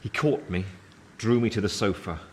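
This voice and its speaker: An actor playing a solemn gentleman narrating his past in a radio play, measured and grave. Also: fairly low pitch, slight background noise, lightly acted. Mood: brooding, tense.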